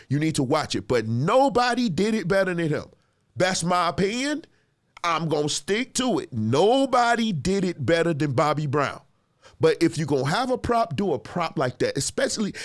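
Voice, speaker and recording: A lively man with a fairly high speaking voice talks straight to his viewers in a casual reaction show. He is a gospel musician and producer, laughs often, and repeats lines for emphasis with a voice that swings up and down. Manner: animated, chatty, laughs often. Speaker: host reacting to clips.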